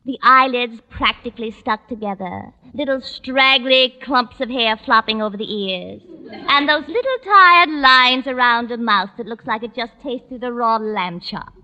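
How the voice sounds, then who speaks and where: An actress playing a condescending magazine editor in 1940s radio comedy, breezy, bossy and cutting. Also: female voice, on the high side, a little slow, muffled recording, close to an everyday voice. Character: pushy, condescending woman magazine layout editor.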